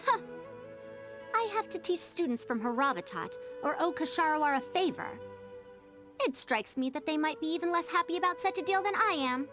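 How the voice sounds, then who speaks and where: A haughty, fussy lady academic from a video game. The voice is high and swoops expressively, with formal, old-fashioned wording and an elder's airs. Music plays behind it. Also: lively intonation, moderate pace. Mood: exasperated. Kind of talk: video game character voice acting.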